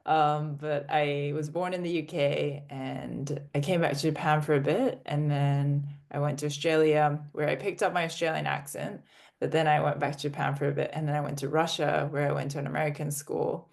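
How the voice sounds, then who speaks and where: A woman in her twenties with a mixed international English accent, a startup founder telling her story as a podcast guest in a fluent, steady way. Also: low voice, vocal fry. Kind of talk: conversational interview answers.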